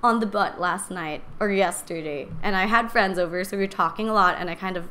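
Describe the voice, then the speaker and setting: A woman in her twenties hosting a podcast, talking casually and candidly, her strained voice raspy and a little shaky. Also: on the low side, fairly quick, bright, forceful tone, a little hiss or hum. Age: 20s. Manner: candid, rambling, chatty. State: strained voice from singing and talking too much. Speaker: podcast host. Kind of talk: casual personal talk to live stream viewers.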